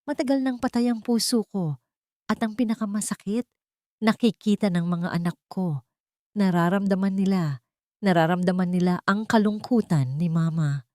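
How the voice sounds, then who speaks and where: A woman's voice: medium pitch, moderate pace, darkish tone, no echo, clean studio sound, close to an everyday voice. A woman voicing a dying, heartbroken wife and mother reading out her diary in a sorrowful, dramatic audiobook manner. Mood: sorrowful, resigned.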